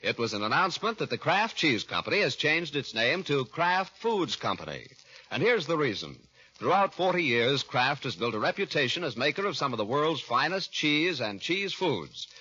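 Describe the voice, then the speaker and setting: A man's voice: a little high, big pitch swings, normal speed, bright, forceful tone, breathy edge. An American man announcing in a polished, emphatic, scripted 1940s radio style. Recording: dull vintage 1940s radio recording.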